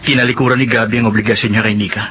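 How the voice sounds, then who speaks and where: A man playing a worried friend in a radio drama, hurried and concerned. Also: lowish voice, flat delivery, bright, forceful tone. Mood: hesitant. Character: worried young male friend. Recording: dull lo-fi, noisy.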